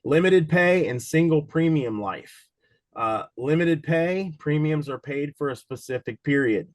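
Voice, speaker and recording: A man with a slightly raspy voice from a cold, running a casual live online study session for an insurance exam and talking in a loose, rambling way with many fillers. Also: on the high side, measured pace, no echo. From Cincinnati, USA.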